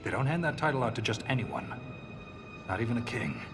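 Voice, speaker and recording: A man playing a pragmatic, skeptical dwarf soldier in a video game, talking in a blunt, wry, gruff way. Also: mid-range voice, sing-song, fairly quick. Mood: grudging, earnest. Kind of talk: video game cutscene dialogue. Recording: game music behind the voice. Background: music.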